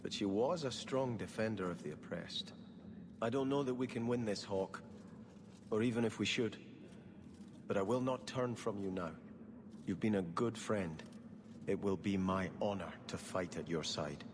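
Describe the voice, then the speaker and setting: Man as low, earnest fantasy warrior. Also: moderate pace, in a large hall, background noise, close to an everyday voice. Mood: solemn, loyal. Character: earnest, devout, loyal male warrior companion. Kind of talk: video game cutscene acting.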